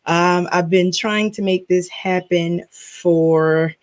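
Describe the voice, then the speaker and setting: A woman's voice: on the low side, fairly even intonation, slow pace. A woman hosting a podcast, chatting casually, teasing her guest and laughing often. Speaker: podcast host. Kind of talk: casual chat.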